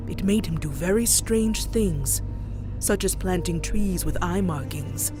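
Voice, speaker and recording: A woman voicing a grave, mysterious zebra sage who tells horror tales in measured rhyme for a comic dub. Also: on the low side, close to an everyday voice. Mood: grave, ominous. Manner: measured, theatrical, speaks in rhyme. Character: mysterious rhyming zebra storyteller, grave and wise. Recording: music playing behind.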